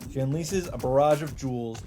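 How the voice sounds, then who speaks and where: A man's voice: medium pitch, a little fast. A man reading visual novel narration aloud as a let's player, in a scripted storytelling style. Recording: music behind the voice. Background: game music.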